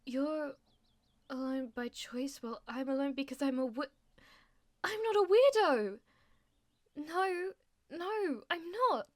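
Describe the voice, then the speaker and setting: Schoolgirl, played by a woman for audio roleplay: high-pitched, theatrical, self-styled dark lord's aide, pompous wording, prone to stammering. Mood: defensive, agitated. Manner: theatrical, grandiose formal phrasing with flustered stammering. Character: melodramatic, delusional schoolgirl who fancies herself a demon lord's aide. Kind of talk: ASMR audio roleplay acting.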